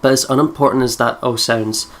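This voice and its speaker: A woman's voice: rumbling low, steady tone, a little fast. A Scottish woman hosting a let's play who chats casually, swears freely and reads game text aloud. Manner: chatty, swears freely, laughs often. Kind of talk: casual gameplay commentary and reading aloud.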